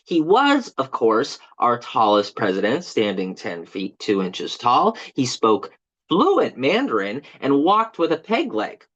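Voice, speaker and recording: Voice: mid-range voice, wildly swooping pitch, takes it slowly, fairly bright tone, dull audio, full character voice. An actor playing a bitter, just-fired male park tour guide in a dramatic monologue, chatty and passive-aggressive as he delivers a mock-informative tour speech.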